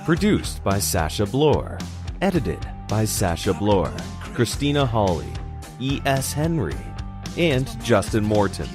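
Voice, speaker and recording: A man's voice: fairly low pitch, sing-song, unhurried, over music. A man reading dramatic scripted narration for a horror audio drama.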